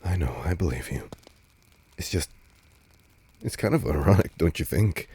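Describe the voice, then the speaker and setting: Man acting a very deep, gravelly, tender vampire. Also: lively intonation, moderate pace, slight background noise. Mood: hesitant, caring. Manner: hesitant, stammering, soft-spoken. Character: nervous, tender male vampire on a stargazing date, resisting hunger. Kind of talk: intimate audio roleplay addressed to the listener.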